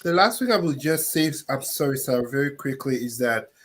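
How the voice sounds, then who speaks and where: A man on a discussion panel builds a measured, rhetorical argument. His voice has an ordinary middle pitch for a man. He speaks over a video call. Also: expressive, a bit bright. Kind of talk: opinionated panel commentary.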